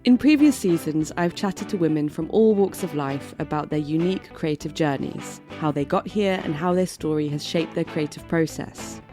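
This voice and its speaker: A woman with a West Yorkshire accent, hosting a podcast and reading her introduction in a soft, measured, earnest way. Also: low voice, over music. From England. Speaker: podcast host. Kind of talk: scripted podcast intro, then interview.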